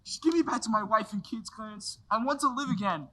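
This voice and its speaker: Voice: medium pitch, brisk, fairly bright tone, natural voice. A performer playing a desperate man pleading to get his old life back, frantic one moment and overjoyed the next. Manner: frantic, pleading, swings from despair to joy.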